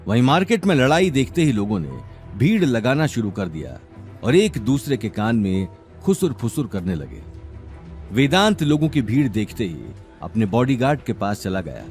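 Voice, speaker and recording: A man's voice: lowish voice, darkish tone. A man narrating a scripted audio drama series in a dramatic storytelling style.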